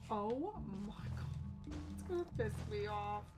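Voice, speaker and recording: A woman's voice: mid-range voice, lively intonation, slow pace. A woman doing casual live game commentary, muttering to herself and chatting to viewers with mild swearing. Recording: loud game music behind. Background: video game music.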